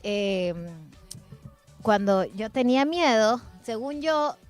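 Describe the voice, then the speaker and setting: A woman's voice: on the high side, expressive, slow. A woman hosting a casual, chatty conversation about everyday things. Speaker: podcast host. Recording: music playing behind the voice.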